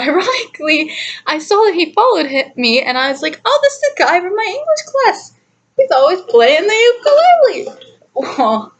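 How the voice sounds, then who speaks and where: A young adult woman telling a personal story in a casual, chatty, animated way, giggly and often interrupting herself. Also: a little high. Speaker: storytime vlogger.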